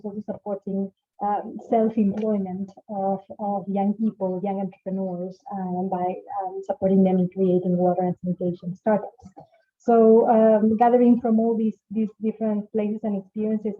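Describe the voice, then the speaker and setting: Argentine woman on a tinny call line. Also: mid-range voice. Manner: many fillers and repeated starts. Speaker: organization director, panel speaker. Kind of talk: online conference presentation. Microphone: video call. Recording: tinny phone-like call audio.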